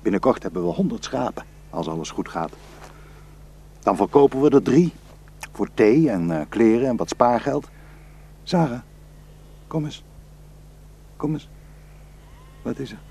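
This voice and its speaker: An actor playing a proud, dreamy Kurdish shepherd husband in a radio drama. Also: male voice, mid-range voice, sing-song, unhurried, pauses often, warm tone, a little hiss or hum. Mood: dreamy, happy.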